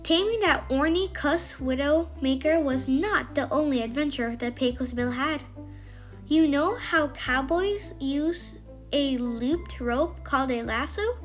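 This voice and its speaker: A woman narrating a scripted children's bedtime story in an expressive, emphatic way. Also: high voice, measured pace, faint music behind.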